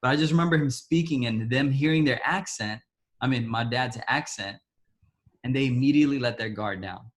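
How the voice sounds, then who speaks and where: A man from Ethiopia shares a personal story in conversation, in a voice of middling pitch for a man. He talks haltingly and restarts his sentences often. He lets his words fade into quiet asides. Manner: halting, many restarts, soft trailing asides. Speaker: interviewee.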